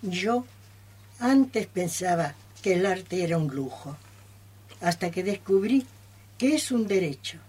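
Woman acting passionate earnest female artist, husky voice, measured pace, radio theatre. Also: lowish voice, expressive, darkish tone, heavily acted. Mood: earnest, hopeful.

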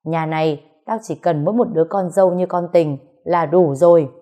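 Woman putting on a stern older father, proud, hot-tempered, harsh scolding, low warm tone. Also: lively intonation, normal speed. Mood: furious shouting. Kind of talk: audio story dialogue.

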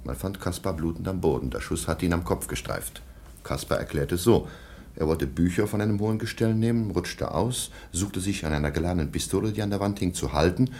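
Stern baron guardian, formal, measured, disapproving, very deep voice, radio play. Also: male voice, darkish tone, slight background noise.

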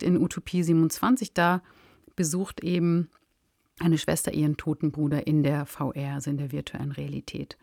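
A woman's voice: deep voice, creaky, a little mellow, dry recording, clean studio sound. A woman speaking conversationally as a guest in a podcast discussion.

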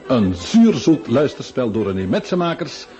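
Animated male radio announcer over music. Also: mid-range voice, moderate pace. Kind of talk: scripted radio drama credits announcement. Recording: dull lo-fi old radio recording.

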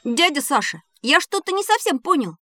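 A woman's voice: high voice, very animated, normal speed, sharp tone, no room sound, studio quality, exaggerated voice. A woman playing a curious, questioning child in an educational audio drama, inquisitive and easily surprised. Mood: curious, puzzled.

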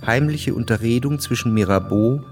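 An actor as a detached chronicler, narrating a historical radio drama in a factual, measured manner. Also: male voice, medium pitch, faint music behind, lightly acted. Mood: sober. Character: sober, detached male chronicler of historical events.

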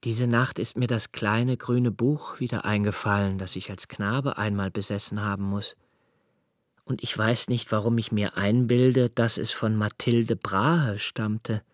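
An actor playing a pensive, sensitive man who recalls his childhood in reflective, deliberate literary narration, with swells of intensity. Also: lowish voice, steady tone, dry recording, studio quality, natural voice. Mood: musing. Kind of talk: literary first-person radio-play narration.

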